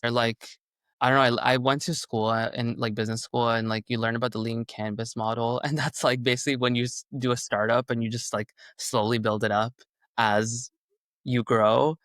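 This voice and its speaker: A man's voice: mid-range voice, fairly bright tone, clear, resonant tone, dry recording, studio quality. A man in his twenties, whose first language is Urdu, answering interview questions in a casual, upbeat way, with lots of "like" fillers. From Canada. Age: 20s. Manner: chatty, heavy "like" fillers, upbeat. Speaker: musician guest.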